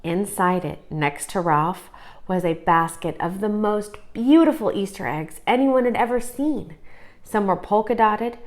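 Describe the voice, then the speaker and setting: A woman reads a children's picture book aloud as a storyteller. Her voice sits at a middle pitch, and she delivers the scripted tale expressively. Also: unhurried. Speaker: storybook reader.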